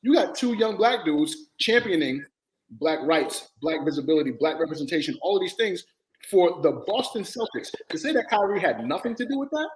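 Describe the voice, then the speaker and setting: A man hosting a casual sports and culture talk show, animated and quick to jump in over the others. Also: a little high. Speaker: podcast host. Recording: echoey room with faint noise.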